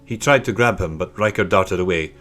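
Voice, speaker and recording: A man's voice: sits low, a little fast, fairly bright tone, faint music behind. A man reading a scripted children's story as an audiobook narrator.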